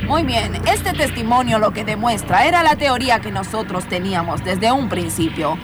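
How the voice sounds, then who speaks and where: A woman's voice: medium pitch, expressive, normal speed, music in the background, lightly acted. A woman playing a mock-serious documentary presenter, explaining mysteries in improvised comedic radio theatre.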